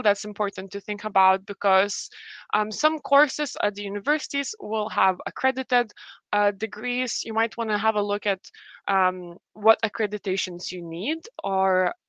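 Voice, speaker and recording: A woman's voice: medium pitch, a bit bright, dry recording. A woman in her late twenties from Lithuania, speaking English with a Lithuanian accent, giving a friendly university webinar presentation with many fillers and restarts. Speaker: university representative.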